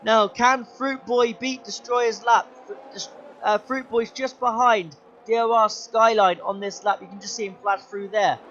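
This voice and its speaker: A young man commentating his own sim race, his voice going hoarse, excitable and shouting at the big moments. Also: sits high, unhurried, lo-fi sound, a little hiss or hum. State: losing his voice. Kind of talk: gaming commentary.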